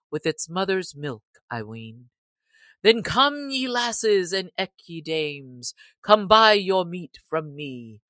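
A woman's voice: sits low, a bit bright, no room sound, exaggerated voice. A woman voicing a jolly, bold young male outlaw posing as a butcher, speaking merrily in archaic storybook English. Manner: merry, lusty street-hawker calls.

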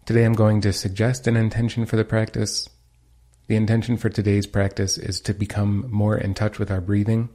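Low-pitched, even-toned man guiding meditation. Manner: calm, gentle instructing with pauses. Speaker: meditation guide. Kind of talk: guided meditation.

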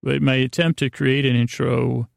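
A man hosting a sleep podcast in a creaky, lulling voice, rambling along in a meandering, halting bedtime monologue that often interrupts itself. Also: medium pitch, little pitch movement, dry recording, clean studio sound. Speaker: podcast host.

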